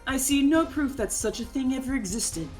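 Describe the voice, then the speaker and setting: Smug, pompous video game prosecutor, woman performer, high animated voice, condescending drama, fan-dub feel. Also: moderate pace. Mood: sneering. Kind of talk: live amateur fan dub of a courtroom game. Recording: game music behind the voice.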